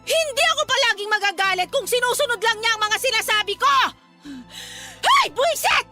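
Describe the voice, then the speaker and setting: A woman's voice: extremely high pitch, sing-song, a little fast, over loud music. A woman playing an exasperated, overworked mother with a Filipino accent, nagging sharply in a radio drama. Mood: bitter, irritated. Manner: nagging, sharp-tongued, emphatic rhetorical questions.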